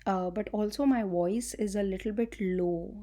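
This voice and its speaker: A young adult woman talking casually to her viewers, speaking slowly and softly with frequent fillers, recorded without a microphone. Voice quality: low-pitched voice by her own account. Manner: soft-spoken, slow and explanatory, frequent fillers. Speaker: channel host and healing coach. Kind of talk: casual talk to camera. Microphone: no microphone, recorded on device.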